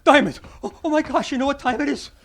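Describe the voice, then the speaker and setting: An actor playing a dim, excitable police sidekick in a live radio drama, flustered, folksy and unsure of himself. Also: male voice, extremely high pitch, fast, faint music behind. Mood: excited, flustered. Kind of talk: live recreation of golden age radio drama.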